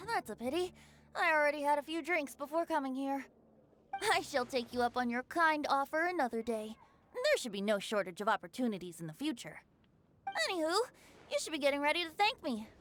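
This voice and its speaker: A woman's voice: sits high, expressive, fairly quick, a bit bright, slight background noise. A woman voicing a carefree, teasing young boy bard in a video game, playful, breezy and theatrical.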